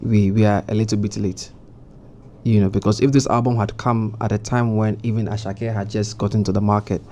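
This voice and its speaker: A man with a dark, mellow voice talks through his ideas on a music panel, restarting his sentences and leaning on "you know". He is in a studio, with a faint hiss of noise behind him. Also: on the low side, flat delivery, brisk. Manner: thoughtful, builds points with restarts and "you know". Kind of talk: casual studio panel discussion.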